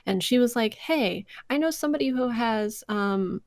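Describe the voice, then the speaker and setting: An American woman with some vocal fry, talking casually as an interview guest and telling stories by acting out conversations. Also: on the high side, normal speed, dry recording, studio quality. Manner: animated storyteller, re-enacts conversations. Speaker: podcast guest, voice actress. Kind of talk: casual interview chat, anecdotes.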